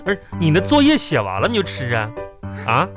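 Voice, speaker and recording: An exasperated father in a comic radio play, with a Northeastern Chinese accent. He sputters and repeats himself as he scolds, his pitch swinging widely. Music plays clearly underneath. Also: wildly swooping pitch, fairly quick. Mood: scolding. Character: exasperated, blustering father of a little girl. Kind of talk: live comedy radio drama acting.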